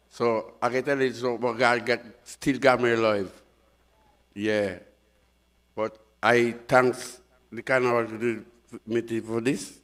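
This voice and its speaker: Older man giving rambling thanks. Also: medium pitch. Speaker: award recipient. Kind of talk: acceptance speech.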